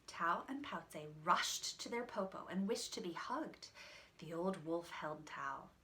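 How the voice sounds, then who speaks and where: A woman reads a storybook to children in a bright voice with animated pitch. She is expressive and explains things simply, in the way of a teacher. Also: measured pace, slight background noise. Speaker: teacher reading a picture book aloud.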